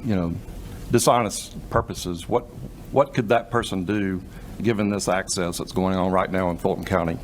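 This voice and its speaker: A man asking a question at a hearing in a halting, searching way. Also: sits low, steady tone. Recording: faint noise, hearing room ambience.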